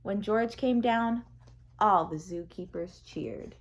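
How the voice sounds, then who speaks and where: A woman narrating a children's picture book aloud in an expressive, deliberate storytelling manner. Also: medium pitch, slight background noise.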